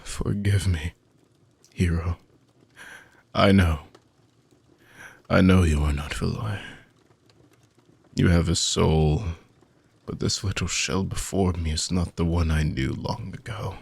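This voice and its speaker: A man playing an ancient, weary dark mage villain in a fantasy audio roleplay, husky and gravelly, speaking theatrically with long dramatic pauses. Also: low voice, fairly even intonation, exaggerated voice. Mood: regretful, tender. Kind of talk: fantasy audio roleplay monologue addressed to listener.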